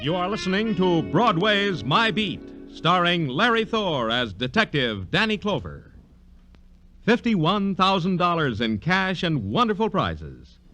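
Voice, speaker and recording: A male announcer from the golden age of radio delivers punchy promotional copy. His high, forceful voice swings up and down with showmanship over faint music. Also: sing-song, a little slow. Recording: vintage radio broadcast with music.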